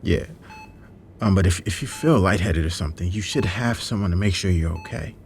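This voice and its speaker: A man playing a kind, concerned hospital worker in an audio drama, speaking gently and hesitantly with a creaky voice. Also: deep voice, a little fast, a little hiss or hum. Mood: gentle, earnest. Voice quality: gravelly, creaky. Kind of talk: naturalistic audio drama acting.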